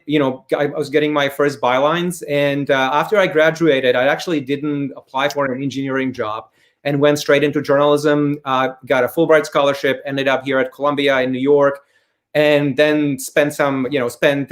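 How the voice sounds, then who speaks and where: A man from the Czech Republic with a Czech accent, giving conversational interview answers and often rephrasing as he talks. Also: mid-range voice. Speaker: interview guest, corporate storyteller and former journalist. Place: remote video webinar.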